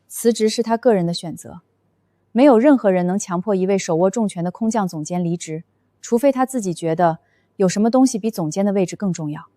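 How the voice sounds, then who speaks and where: A woman voicing an icy, rule-bound corporate executive in an animated office drama, coldly formal and admonishing. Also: medium pitch, a little fast, lightly acted.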